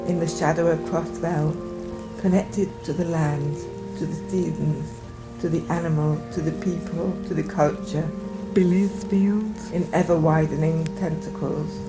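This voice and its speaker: Slow, reflective Englishwoman over music. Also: fairly low pitch, poor audio. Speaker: poet. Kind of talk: poetic reading and reflective documentary talk.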